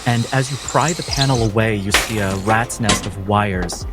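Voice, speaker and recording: A man acting as game master, narrating a cinematic tabletop story in a measured, descriptive, instructive manner. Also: fairly low pitch, over loud music.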